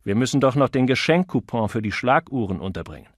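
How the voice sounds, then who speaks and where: A man's voice: mid-range voice, animated, quick pace, no echo, clean studio sound, lightly acted. A man voicing a harried advertising manager in an audiobook, insistent yet unsure and pleading.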